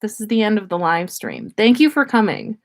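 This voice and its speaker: A woman's voice: medium pitch, very animated, a little fast. A woman hosting a livestream in casual chat, rambling and effusive, with slangy talk and free swearing. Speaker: podcast host.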